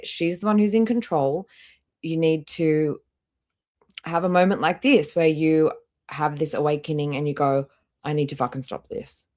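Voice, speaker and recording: Low voiced lady streamer doing reaction commentary, blunt and sweary, chatty. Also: a little mellow. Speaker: livestream host, commentator. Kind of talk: casual reaction livestream commentary.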